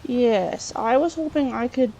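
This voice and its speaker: A woman narrating a video game in character as an adventuring scholar, halting and thinking aloud as she goes. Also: fairly high pitch, mellow, dull audio, a little hiss or hum. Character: chatty Breton scholar woman, treasure-hunting adventurer. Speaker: let's play host role-playing a character.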